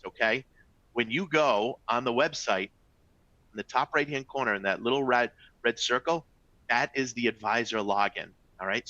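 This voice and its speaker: A middle-aged man with a Boston accent gives a friendly sales webinar, speaking in short bursts and addressing listeners as folks. His voice is bright and forceful. The audio is dry and a little dull, like a webinar feed. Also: dry recording. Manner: upbeat, chatty sales pitch with frequent "all right?" and "folks". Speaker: travel company executive presenting a webinar. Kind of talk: training webinar presentation.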